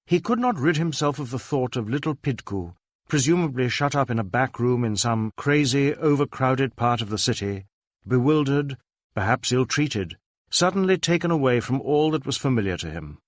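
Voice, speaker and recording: A man reading a novel aloud as scripted narration, in a measured, formal storytelling manner. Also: mid-range voice, lively intonation, no room sound. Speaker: audiobook narrator.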